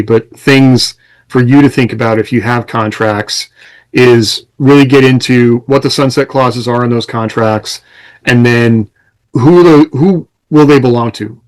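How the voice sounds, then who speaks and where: A man's voice: mid-range voice, rough recording. Casual man co-hosting a podcast. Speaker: podcast co-host. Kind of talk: casual chat.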